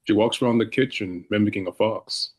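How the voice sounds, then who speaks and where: A man's voice: fairly low pitch, brisk. A man reading stage directions as scripted narration for a drama.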